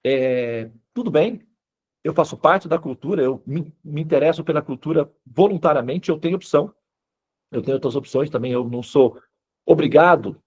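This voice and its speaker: A man's voice: a little high, moderate pace, a little mellow, husky, highs cut off. A Brazilian man speaking Brazilian Portuguese as a spiritual teacher, explaining in an informal lecture style and often checking in with short tag questions.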